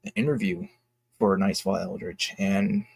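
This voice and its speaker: A young man talking casually and candidly to his fans in a halting, reflective, earnest way, as an independent creator. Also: deep voice, wildly swooping pitch, creaky, slightly rough, dry recording. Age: young adult. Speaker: indie comic creator and vlogger.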